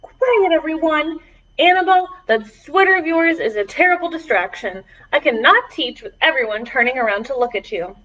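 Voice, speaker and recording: A woman's voice: sits high, animated, normal speed, fairly bright tone, distant mic, dull audio. A woman voicing a stern, flustered male schoolteacher from a picture book for small children. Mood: stern, exasperated.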